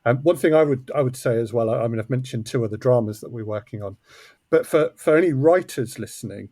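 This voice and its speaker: A man's voice: mid-range voice, fast, warm tone, dry recording, clean studio sound. A man speaking casually as an interview guest on a podcast. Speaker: guest, audio drama co-creator.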